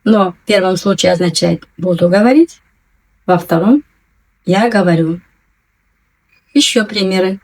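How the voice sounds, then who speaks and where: A woman teaching a language lesson, patiently and clearly enunciating, repeating each new word twice. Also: mid-range voice, unhurried, long pauses, a little mellow, low-quality recording. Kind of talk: slow, careful language lesson.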